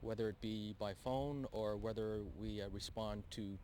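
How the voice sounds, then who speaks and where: A man's voice: fairly low pitch, steady tone, normal speed, crowd noise. A man, a patrol officer, speaking in an interview, hesitant with many fillers.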